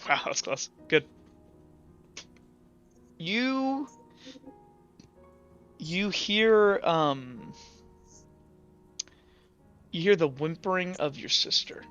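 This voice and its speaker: A man with a bright, forceful voice narrates a tabletop game as its master. He speaks clearly but haltingly, improvising descriptions over background music. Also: high voice, expressive, highs cut off.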